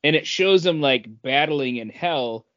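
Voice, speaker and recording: Slow, high-pitched, animated man, slightly muffled. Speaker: podcast co-host. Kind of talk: casual comic book discussion. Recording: dull, lo-fi podcast sound.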